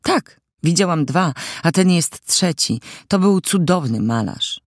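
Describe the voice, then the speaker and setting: Impish sixteen-year-old girl played by a male reader, talkative and theatrical, pitched up and very animated, for an audiobook. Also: unhurried, no echo, studio quality. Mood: eager. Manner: lively, emphatic, theatrical. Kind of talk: audiobook character dialogue.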